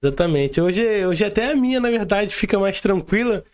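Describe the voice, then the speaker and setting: A Brazilian man with a notably high voice for a male. He hosts a podcast, putting questions and comments in a loose, conversational style. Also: lively intonation, fairly quick. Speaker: podcast host, interviewer. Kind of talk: casual conversational interviewing.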